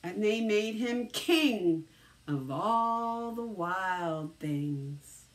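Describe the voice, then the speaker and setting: Female storybook reader, medium pitch, slow delivery, expressive and dramatic with hushed, drawn-out phrasing. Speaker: teacher reading a story aloud. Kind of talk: expressive children's storybook narration.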